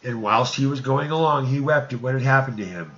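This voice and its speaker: A man's voice: mid-range voice, a little fast, fairly bright tone, echoey room, highs cut off. A man narrating an old scripture story in a solemn, archaic storytelling manner, as an amateur audiobook reading.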